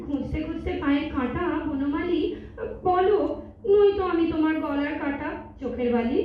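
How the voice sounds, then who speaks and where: A woman's voice: sits high, normal speed, lightly acted. A woman playing a coy, teasing young woman in love, speaking lilting rhymed verse theatrically in a stage audio drama. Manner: theatrical, lilting, poetic recitation. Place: multipurpose hall stage in Kolkata. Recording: echoey hall, distant mic, dull, faint noise.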